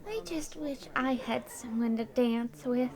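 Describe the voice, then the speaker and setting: A woman playing a shy, lovestruck teenage girl in an animated fan drama, timid and hesitant. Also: fairly high pitch, unhurried. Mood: wistful.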